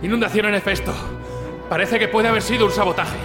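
A man's voice: high voice, steady tone, fast, close to an everyday voice. A man playing an alarmed messenger urgently reporting trouble in an audio drama. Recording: echoey, distant, under loud music.

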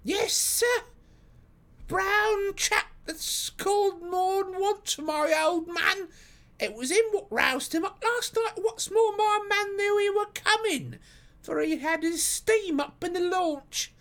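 A storybook working-class housewife, pitched extremely high. She is stout, fretful and talkative, respectful to her betters but full of homely grumbling. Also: lively intonation, slow pace, a bit bright, heavily acted. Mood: uneasy, indignant. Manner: deferential, garrulous, colloquial working-class speech. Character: stoutish red-faced working-class London wife, fretful and chatty.

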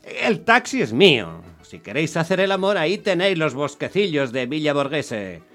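A man's voice: a little high, sing-song, moderate pace, fairly bright tone. An actor playing a weary, put-upon working-class taxi driver who tells his story in a colloquial, grumbling way in a radio drama. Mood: annoyed. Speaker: first-person narrator and lead actor. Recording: music under the voice. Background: music.

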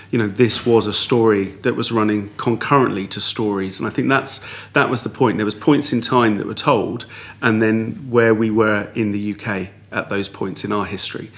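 A man answering in a relaxed interview, talking in long, rambling sentences with frequent restarts. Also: fairly low pitch, brisk, few pauses. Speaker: guest. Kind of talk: conversational interview.

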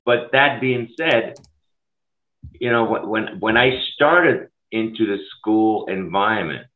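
A man's voice: lowish voice, lively intonation. A middle-aged man from Switzerland, a school food services director, speaking passionately and emphatically in an interview over a video call. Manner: passionate, emphatic, rapid bursts. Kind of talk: conversational interview. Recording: tinny phone-like line.

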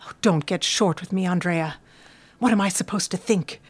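A woman's voice: lowish voice, moderate pace, slightly rough. An actress playing a prim, fretful middle-aged mother who scolds like a martyr in an audio drama. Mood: indignant, martyred.

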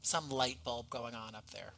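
A man speaking as a podcast guest in a thoughtful conversational interview, articulate and earnest, with measured phrasing. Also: mid-range voice, pushed and bright. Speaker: podcast guest, audio drama writer.

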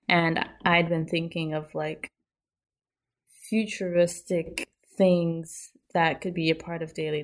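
A woman speaking as an interview guest, casual and reflective, often trailing off in mid-thought. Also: lowish voice. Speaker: podcast guest, storyteller.

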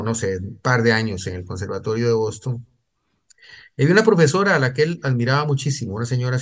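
Older male, medium pitch. Conversational raconteur, halting and digressive. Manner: hesitant, digressive, repeats words. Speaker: guest writer. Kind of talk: conversational anecdote telling.

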